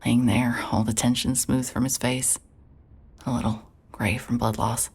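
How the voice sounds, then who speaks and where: A woman playing a weary, introspective private investigator in a horror audio drama, guarded and quiet in manner. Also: rumbling low, flat delivery, unhurried, slightly rough, heavily acted. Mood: brooding, wistful. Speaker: narrator and lead character. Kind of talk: scripted first-person noir horror audio drama narration.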